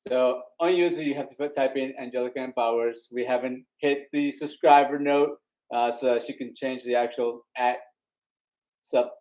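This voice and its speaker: A man's voice: mid-range voice, fairly even intonation, normal speed, darkish tone, poor audio. A Colombian man with an accent, co-hosting a live worship stream in a loose, rambling way. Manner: easygoing, rambling, with many fillers. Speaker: co-host of an online worship show. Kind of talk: casual live-stream chat. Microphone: live stream on phones and devices.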